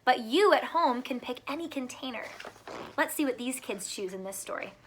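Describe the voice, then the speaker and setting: A woman teacher reading a picture book aloud to young children, pausing to explain simply and ask questions, drawing out words for emphasis. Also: high-pitched, animated, fairly bright tone, slight background noise, poor audio.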